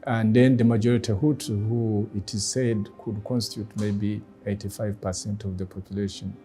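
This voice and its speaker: A middle-aged man with a Rwandan accent, a native Kinyarwanda speaker, talking reflectively in a deliberate, measured way with pauses. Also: on the low side, little pitch movement, dark tone, faint music behind. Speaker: guest speaker, former ambassador. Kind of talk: reflective personal talk.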